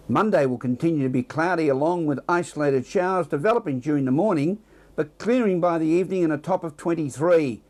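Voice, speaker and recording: Higher-pitched Australian male news presenter. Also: a little mellow. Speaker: news presenter reading the weather. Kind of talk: scripted news and weather reading with casual asides.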